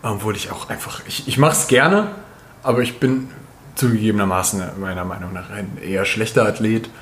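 A man's voice: lowish voice, brisk, fairly bright tone, a little hiss or hum. A man chatting casually as a podcast co-host, dropping English slang into his talk. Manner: peppers speech with English slang. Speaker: podcast co-host, calisthenics coach.

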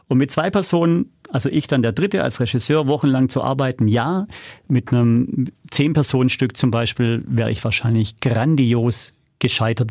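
Male interview guest, producer type. Gravelly edge, informal storyteller, dry studio-like sound. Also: medium pitch, darkish tone, no echo. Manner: informal, anecdotal. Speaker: interview guest, audio drama and documentary producer.

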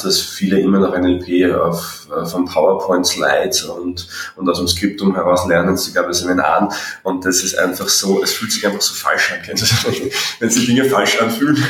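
A man who trains people in NLP, talking persuasively and directly to the listener in a relaxed conversation. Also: low voice, normal speed, distant mic. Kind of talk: casual podcast conversation. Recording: roomy echo, away from mic.

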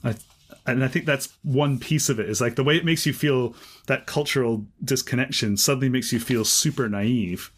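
A man's voice: medium pitch, normal speed. A man in casual conversation about a story collection, talking with enthusiasm and lots of fillers. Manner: animated, enthusiastic, many fillers like "like".

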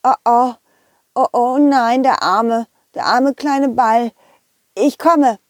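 A woman voicing a helpful little boy who stammers with excitement. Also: on the high side, slow, no room sound, clean studio sound, pitched above the performer's own voice. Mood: eager, worried.

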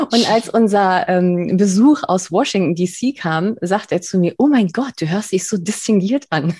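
A woman's voice: medium pitch, a little slow, warm tone, husky, no room sound. A woman from Spain hosting an interview, talking in a chatty, effusive way and laughing often. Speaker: podcast host. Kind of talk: casual interview chat.

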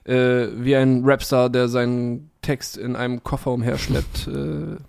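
A man's voice: mid-range voice, little pitch movement, unhurried, vocal fry, darkish tone, clean tone. A man co-hosting a casual music podcast, joking and rambling with many fillers. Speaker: podcast co-host. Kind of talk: casual music review chat.

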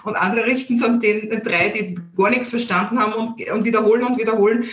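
A middle-aged woman with a Viennese accent, an entrepreneur and learning coach talking in an interview, lively and opinionated, often repeating her first words. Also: fairly high pitch, quick pace, echoey room. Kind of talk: casual conversational interview.

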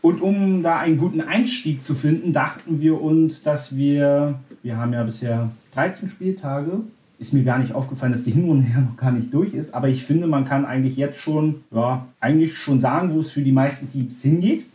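A man's voice: fairly high pitch, moderate pace, mellow, reverberant. A man born in Berlin, hosting a casual football podcast, talking in a chatty way with frequent restarts and fillers. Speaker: podcast host.

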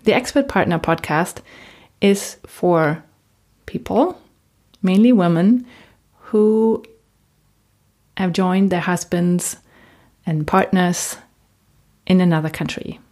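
A woman's voice: lowish voice, slow pace, long pauses, a little mellow. A woman from Germany, a native German speaker with a German accent, presenting a solo podcast as host and coach in a conversational way.

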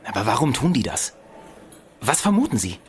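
An actor playing an inquisitive, sceptical journalist in a political radio play, with a probing, wry manner. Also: male voice, mid-range voice, very animated, normal speed, lightly acted. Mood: questioning.

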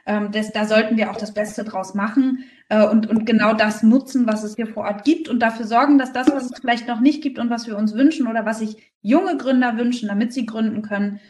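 A woman with a fairly high voice, recorded at a distance from the mic in an echoey room. She answers interview questions conversationally as a founder, stressing her points by repeating words. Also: fairly even intonation, brisk, few pauses, a little mellow, a little hiss or hum. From Berlin, Germany. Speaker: startup founder being interviewed.

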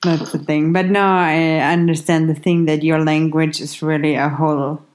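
A young woman interviewing a guest in relaxed chat, full of likes and honestlys. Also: sits low, moderate pace. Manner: chatty, uses like and honestly a lot. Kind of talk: casual chat.

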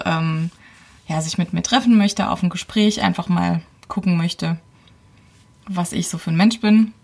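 A woman's voice: on the low side, creaky, a little mellow. A woman around forty, a podcast guest telling a personal story casually and thinking aloud as she goes. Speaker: podcast guest, host's partner.

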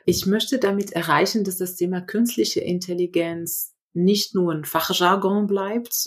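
Woman from Morocco, remote interview guest, animated, halting with fillers. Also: fairly low pitch. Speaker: guest author. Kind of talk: interview answers. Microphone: remote connection.

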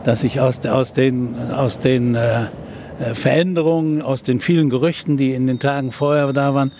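An older man, a veteran journalist, recalls a historic press conference in an interview. His telling is halting and full of filler sounds, his pitch sits in the middle range, and a faint noise runs underneath. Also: fairly even intonation, darkish tone. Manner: hesitant storytelling with many fillers. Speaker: journalist and TV presenter. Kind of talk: recounting a historical event in an interview.

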